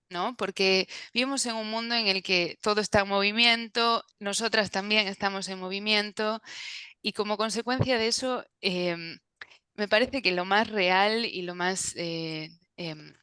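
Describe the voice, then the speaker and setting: Soft-spoken Galician woman, very clear voice. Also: medium pitch, no room sound. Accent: Galician Spanish. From Galicia, Spain. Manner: thoughtful, searching for words, many fillers. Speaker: translator. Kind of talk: conversational interview answer.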